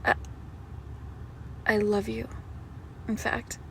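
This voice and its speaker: A woman plays a tender, possessive girlfriend hiding something monstrous. She speaks very slowly and softly, with plenty of fry in the voice, often sinking toward a whisper. It is an intimate roleplay audio drama with faint noise behind it. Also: fairly high pitch, little pitch movement, full character voice. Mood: loving, intimate. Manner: slow, hushed, tender, often dropping to a whisper.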